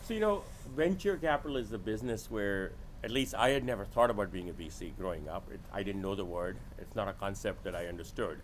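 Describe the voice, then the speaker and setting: A man's voice: fairly low pitch, lively intonation, quick pace, hardly any pauses, slight background noise, poor audio. A middle-aged man telling his story conversationally as an interview guest, candid and self-deprecating. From born in India, grew up in Sudan and Nigeria. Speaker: podcast guest, venture capitalist.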